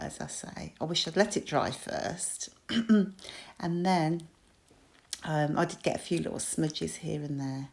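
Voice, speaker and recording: Croaky British woman chatting through a craft project. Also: fairly low pitch, a little mellow. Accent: British English. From United Kingdom. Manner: chatty, self-deprecating, with frequent ums and small laughs. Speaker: crafter and shop designer. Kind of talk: crafting tutorial voice-over.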